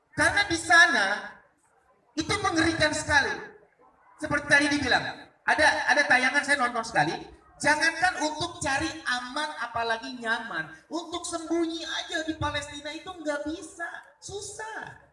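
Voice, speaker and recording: Male storyteller. Very high, bright, forceful voice, conversational yet dramatic, recorded in an echoey room. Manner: conversational, dramatic, asks rhetorical questions. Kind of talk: animated oral storytelling to live listeners. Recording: echoey room, distant mic.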